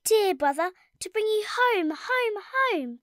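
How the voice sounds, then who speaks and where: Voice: extremely high pitch, lively intonation, slow, a bit bright, no echo, clean studio sound, heavily acted. An actor playing a little girl in an audio drama, a loving, eager young sister. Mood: joyful, eager.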